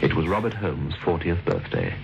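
An actor playing a wry, insinuating storyteller, narrating an old radio drama. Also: male voice, rumbling low, huge pitch swings, normal speed, gravelly, full character voice. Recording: dull old radio recording, music behind.

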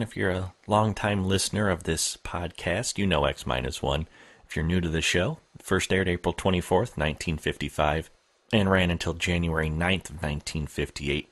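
Deep-voiced podcast host, a man. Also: fairly bright tone. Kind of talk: scripted show introduction.

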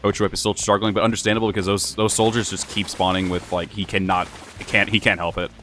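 A young man chatting through a casual let's-play, rambling with many fillers, his voice a little hoarse and wearing thin. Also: on the low side, flat delivery, fast, a bit bright, faint music behind. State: slightly hoarse, losing his voice. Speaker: gaming channel host.

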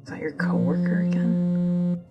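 A woman acting a slow, halting, gentle young woman. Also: lowish voice, monotone, highs cut off, loud music behind the voice, close to an everyday voice. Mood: hesitant, apologetic. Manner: halting, soft-spoken, stammering. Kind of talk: intimate ASMR audio roleplay.

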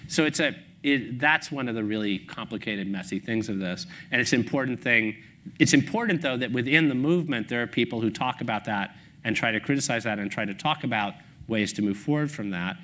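Guy in his 50s on a panel, bright clear voice, lapel mic, thinks out loud w lots of restarts. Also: mid-range voice, fairly quick, slight background noise. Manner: thoughtful, restarts often while forming points. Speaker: panel guest, author. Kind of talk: panel discussion. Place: stage at a public talk, indoors.

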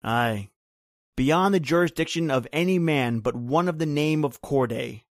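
An actor playing a bitter, grim island native who tells an old horror tale in brooding, rhythmic, folksy phrases, in old-time radio drama style. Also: male voice, on the high side, measured pace, dry recording, clean studio sound. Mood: grim, intense.

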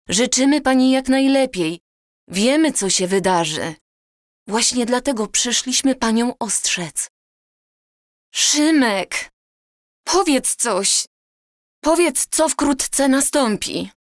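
An actress playing an earnest, courteous and insistent time traveller in an audio drama. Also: female voice, fairly high pitch, lively intonation, slow, dry recording, clean studio sound. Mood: earnest, pleading. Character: earnest, pleading granddaughter from the future.

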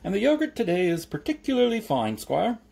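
A beaming, high-pitched milkman from a storybook, chirpy and unabashed. The sales patter is sing-song and leaps about in pitch. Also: wildly swooping pitch, fairly quick, creaky, a little mellow, full character voice. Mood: cheery, eager.

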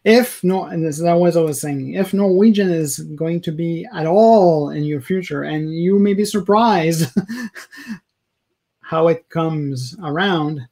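Higher-pitched man, halting talker. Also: vocal fry, dark tone. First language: French. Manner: halting, searching for words, self-correcting. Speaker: video blogger. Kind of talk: casual opinion rant to camera. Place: small indoor room, home office.

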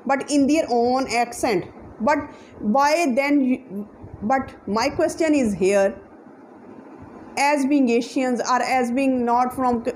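A woman's voice: sits high, a little mellow, a little hiss or hum, poor audio. A young woman with a Pakistani accent, a native Punjabi speaker, giving an earnest, emphatic motivational talk to camera as an English teacher, seated indoors. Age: young adult. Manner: earnest, emphatic, lots of hand gestures. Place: indoor room, seated on a sofa.

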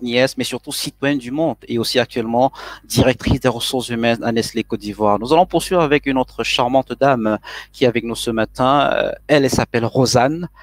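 A man's voice: mid-range voice, no room sound. A man moderating a live online panel in a formal, hesitant way with many fillers. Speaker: webinar moderator.

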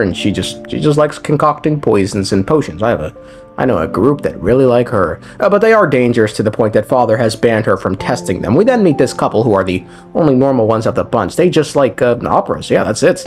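A man's voice: medium pitch, a little mellow. A young man giving a casual, slangy story recap, rambling and swearing with wry humour. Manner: slangy, profane, rambling, wryly joking. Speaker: video creator recapping a game story. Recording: music behind the voice. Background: background music.

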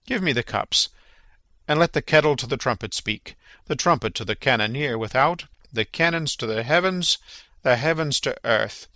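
A man plays a regal, scheming king in a Shakespeare audiobook. The voice is gravelly and forceful, closely and dryly recorded. His delivery is stately and declamatory. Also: mid-range voice, no echo. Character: King Claudius, regal scheming middle-aged villain. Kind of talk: dramatic Shakespeare audiobook reading.

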